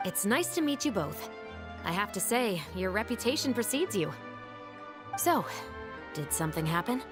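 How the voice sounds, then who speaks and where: Woman as lively, wry patrol captain. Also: medium pitch, close to an everyday voice. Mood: composed. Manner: wry, measured, explanatory. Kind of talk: video game voice acting. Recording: music behind the voice. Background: game music.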